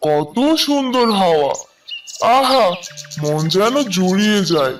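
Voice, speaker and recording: Cartoon monkey schoolboy voiced by a man, high, casual and dreamy, set back from mic over music. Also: expressive, slow pace. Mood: carefree. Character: young male monkey schoolboy, easygoing dreamy truant. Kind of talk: cartoon character dialogue. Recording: distant voice with clear background music.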